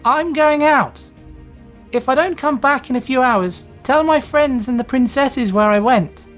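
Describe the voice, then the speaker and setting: A man voicing an eager, studious female pony princess in a storybook reading, brisk and self-assured. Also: extremely high pitch, a bit bright, faint music behind, voice pushed up. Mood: brisk, calling out.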